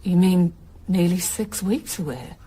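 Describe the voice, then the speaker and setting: A woman's voice: fairly low pitch, a little slow, mellow, breathy edge. Grieving, desperate wife and mother. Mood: outraged disbelief. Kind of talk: naturalistic radio drama acting.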